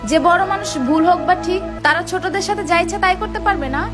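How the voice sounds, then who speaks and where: মহিলার কণ্ঠে খুব চড়া গলার ঝগড়াটে কার্টুন মা. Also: female voice, very high-pitched, fast. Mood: indignant. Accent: Bangladeshi. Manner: bossy, argumentative. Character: feisty scolding young mother of a small schoolboy. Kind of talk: comic cartoon dialogue. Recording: music behind the voice.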